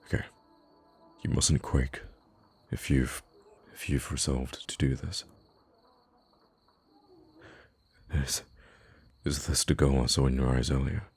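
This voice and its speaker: An extremely low, slightly gravelly male voice as a man acts out a kind young prince in an intimate ASMR roleplay. He speaks in courtly, formal phrases with hesitant pauses, noble and gently witty, with faint music behind him. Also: little pitch movement, full character voice. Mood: shaken, gentle. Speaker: voice actor in an audio roleplay.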